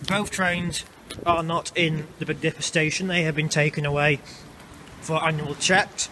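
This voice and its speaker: A man's voice: a little high, fairly even intonation, pushed and bright. A man giving chatty, explanatory walking-tour commentary, recorded outdoors on a handheld camera. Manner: chatty, explanatory, a bit halting. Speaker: vlogger. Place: outdoors in urban streets and underpass. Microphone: handheld camera mic. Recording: noisy outdoor street sound. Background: traffic and street ambience.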